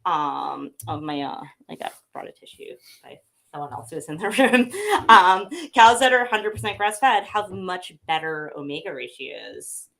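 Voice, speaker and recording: Sniffly woman rambling about pet food, lowish voice, lots of um and like. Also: animated, unhurried, vocal fry, fairly bright tone. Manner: chatty, many fillers and restarts. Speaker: pet food company representative. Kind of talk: casual conversational interview.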